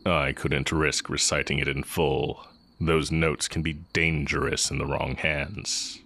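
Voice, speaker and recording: Guy acting as super deep slow talking evil magic teacher, tender n sly, romance audio roleplay, bit of background noise w crickets. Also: level tone, bright, forceful tone, exaggerated voice. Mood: confiding, earnest. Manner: measured, formal, deliberate phrasing. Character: heretic dark mage, male former teacher, tender and sly.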